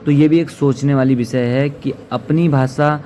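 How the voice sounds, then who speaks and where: A man's voice: medium pitch, steady tone, normal speed, mellow, slight background noise. A man presents a political explainer as an activist, speaking earnestly in formal diction. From Uttarakhand, India. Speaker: activist commentator. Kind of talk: political explainer to camera or voice-over.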